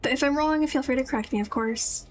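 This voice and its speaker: A woman's voice: high voice, quick pace. A woman giving casual, musing live commentary as a game streamer, tentative in how she talks. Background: game music.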